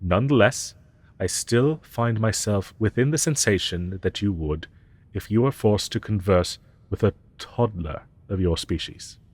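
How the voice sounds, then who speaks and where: Man acting as a pompous deep-voiced ancient dragon professor. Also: darkish tone. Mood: condescending. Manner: pompous, archaic, formal diction; disdainful and deliberate. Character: haughty ancient male dragon professor, condescending to humans. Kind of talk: roleplay audio drama, scripted monologue.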